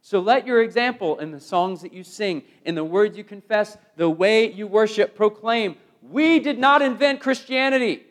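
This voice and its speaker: A middle-aged American man delivers a sermon in a notably high-pitched voice. He is emphatic, leaning on repetition and rhetorical phrasing, and shifts between forceful proclamation and quieter, hushed phrases. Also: moderate pace, few pauses. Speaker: preacher.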